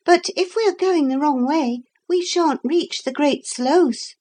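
A woman voicing a plucky, calm, unafraid young girl in a children's story. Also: sits high, a little slow, pitched above the performer's own voice. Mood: plucky, firm.

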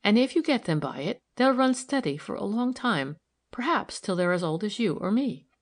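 A composed, shrewd woman from a novel, as a woman narrator reads her. She speaks in a light, friendly, careless way, with some creak in the voice. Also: mid-range voice, sing-song, moderate pace. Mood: amused, confiding.

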